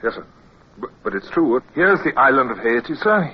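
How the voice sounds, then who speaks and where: An actor playing an earnest young British naval lieutenant in a radio drama, respectful and formal, persistent though a little hesitant. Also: male voice, mid-range voice, very animated, moderate pace, slightly rough. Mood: earnest persuasion. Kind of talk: radio drama acting with first-person narration. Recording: muffled, noisy old radio recording.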